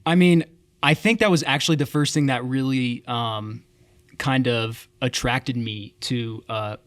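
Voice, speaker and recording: Man musician, reflective interview answers, mid pitch, dry close mic. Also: no echo. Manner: thoughtful, hesitant, many fillers. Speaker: worship band keyboard player. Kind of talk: casual interview chat.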